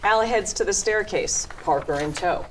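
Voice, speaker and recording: A woman's voice: mid-range voice, moderate pace, rough recording. A woman reading screen directions as scripted narration at a table read. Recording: faint noise and room ambience.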